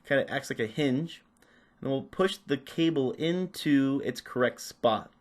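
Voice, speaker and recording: A man with a medium-pitched voice presents a practical tutorial. He explains each step casually and without a script, talking as he works. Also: lively intonation, a little slow. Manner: unscripted, narrating while working. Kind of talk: casual step-by-step hands-on instruction.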